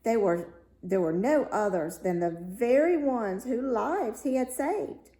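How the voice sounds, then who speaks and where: Female fairy tale narrator, mellow and unhurried, expressive delivery, roomy sound. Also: a little high. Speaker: story reader.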